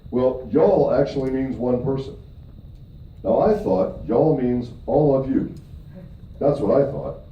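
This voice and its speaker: A man's voice: medium pitch, takes it slowly, pauses often. A middle-aged man from Michigan gives a seminar talk to an audience, emphatic, with upward swings on key points and frequent check-ins of "okay?" with the room. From Michigan, USA. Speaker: presenter at a company event. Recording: distant, echoey, dull audio with faint noise.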